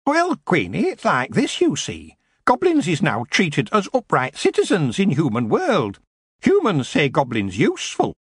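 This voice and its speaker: Defiant goblin, cheeky and ungrammatical, played by a man, pitched up and springy. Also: huge pitch swings, a little slow, husky, no room sound, clean studio sound, exaggerated voice. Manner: broken grammar, cocky, mocking. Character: cheeky stocky male goblin, defiant and proud.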